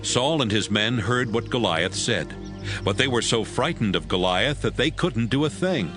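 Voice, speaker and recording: A man's voice: mid-range voice, lively intonation, moderate pace. A man narrating a dramatized audiobook as a scripted storyteller. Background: music.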